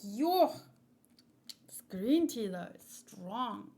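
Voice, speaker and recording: A woman hosting a casual livestream, talking in a rambling, halting, easily distracted way. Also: mid-range voice, very animated, gravelly, a little mellow. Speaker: livestream host doing manga read-alongs.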